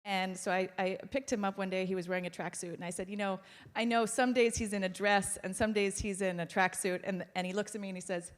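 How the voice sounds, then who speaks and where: A woman moderating a conference panel, brisk and friendly. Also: medium pitch, steady tone, big-room sound.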